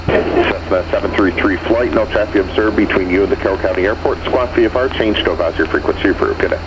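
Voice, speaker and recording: A man working as an air traffic controller, giving brisk instructions over aviation radio. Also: fairly low pitch, muffled recording. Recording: aircraft radio under loud music. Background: loud music.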